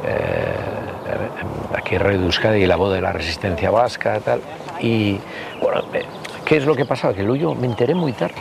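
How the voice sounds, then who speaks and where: Man in his sixties, Basque Spanish accent, creaky mid-range voice, rambling storyteller, casual interview, noticeable outdoor urban noise. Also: fairly low pitch, sing-song. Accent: Spanish from the Basque Country. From Basque Country, Spain. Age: 60s. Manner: rambling, halting, anecdotal, peppered with tag questions. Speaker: interview guest, political party member.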